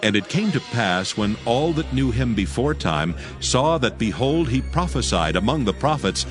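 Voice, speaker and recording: A man narrating dramatized scripture as a solemn, measured storyteller. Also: medium pitch, very animated, music in the background.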